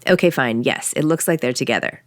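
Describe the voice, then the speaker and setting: A woman's voice: a bass voice, brisk, no room sound. A woman voicing a weary, sarcastic middle-aged mother in an exasperated, placating way, as in an audiobook.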